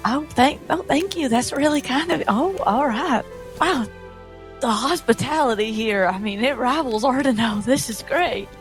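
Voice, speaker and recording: A woman's voice: high-pitched, expressive, moderate pace, soft background music. A woman playing a sweet, timid young traveller, easily impressed, with a hesitant and artless manner. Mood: flustered, impressed.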